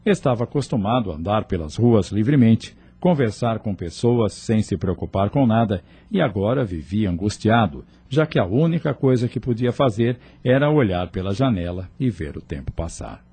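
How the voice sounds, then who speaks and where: A man's voice: fairly low pitch, expressive, normal speed, few pauses, dark tone, slightly rough, no echo. A man announcing and narrating a scripted radio drama.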